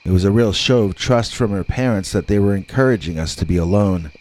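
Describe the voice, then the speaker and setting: Guy with a lowish voice reading creepy stories, dramatic and deliberate, draws out words. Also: a little mellow. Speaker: narrator of horror stories. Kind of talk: scripted scary story narration.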